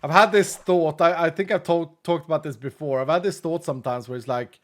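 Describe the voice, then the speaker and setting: A man's voice: a little high, brisk, fairly bright tone. A man from Sweden with a Swedish accent, Swedish being his first language, chatting casually with viewers while gaming on a stream, joking about himself and trailing off mid-thought. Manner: chatty, self-deprecating, laughs often, trails off mid-sentence.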